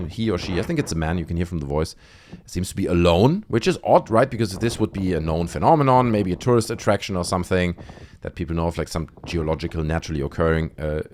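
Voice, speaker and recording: A man's voice: low-pitched, a little mellow, slight background noise. A man hosting an online video, giving casual, conversational commentary on clips with frequent tag questions. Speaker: YouTube host.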